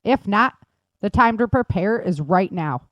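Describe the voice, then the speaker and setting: A woman's voice: mid-range voice, animated, unhurried, no room sound. A woman host reading scripted sponsor messages and promos for her own series.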